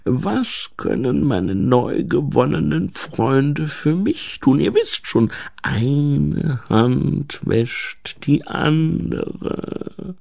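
A man voicing a sly, easily offended male forest gnome in a storybook, with a theatrical, wheedling, drawn-out delivery. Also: fairly high pitch, sing-song, vocal fry, a bit bright, full character voice. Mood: sly, lurking.

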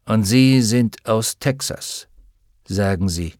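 A man voicing a calm, gaunt older shaman. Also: lowish voice, no echo, studio quality. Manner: calm, measured, hospitable. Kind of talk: audiobook character voice.

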